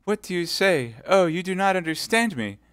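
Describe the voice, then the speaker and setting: Male reader doing a wily imprisoned lady, tearful and pleading, high and dry. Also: animated, normal speed, fairly bright tone, smooth, no room sound. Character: scheming captive noblewoman, pleading and theatrical.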